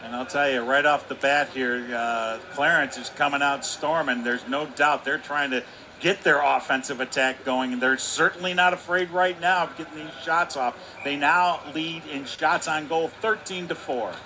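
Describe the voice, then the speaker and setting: Color man, bright and a touch high, calm explanatory analysis, faint hiss behind. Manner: measured, explanatory, with fillers like "kinda". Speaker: radio color commentator. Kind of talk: hockey color commentary.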